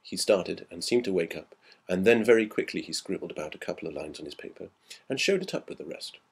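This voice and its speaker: A man reading a ghost story dramatically, in a measured and reflective storytelling manner. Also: low voice, animated, breathy edge. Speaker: audiobook reader.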